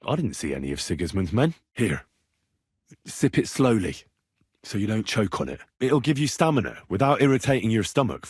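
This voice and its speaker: A man's voice: lowish voice, slightly rough, dry recording, clean studio sound, exaggerated voice. An actor playing an earnest, reassuring young medieval man tending the sick in a video game, speaking plainly and practically. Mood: calm, advising. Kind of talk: video game character dialogue.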